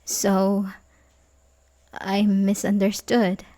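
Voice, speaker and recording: A woman playing an obsessive, manipulative young babysitter in love in an ASMR roleplay, halting and pleading with soft menace. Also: mid-range voice, monotone. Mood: hurt, subdued. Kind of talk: ASMR roleplay audio drama, addressing the listener.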